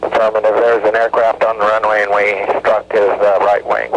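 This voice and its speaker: A man's voice: on the low side, little pitch movement, normal speed, lo-fi sound. A man speaking as an airline pilot to air traffic control over a cockpit radio. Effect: cockpit aviation radio. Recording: noisy cockpit radio transmission.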